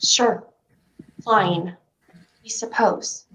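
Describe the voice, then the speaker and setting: A woman's voice: mid-range voice, extremely slow, fairly bright tone, a little hiss or hum, poor audio. A woman in a staged play reading playing a defiant, suspicious, hot-headed child on a ship. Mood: sceptical. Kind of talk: staged reading of a play over video stream.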